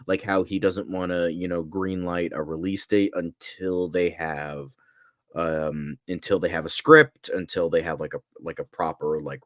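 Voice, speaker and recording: A man co-hosting a casual podcast, giving opinions as he thinks aloud, rephrasing in a halting flow. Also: deep voice, a bit bright, no room sound, clean studio sound. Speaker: podcast co-host.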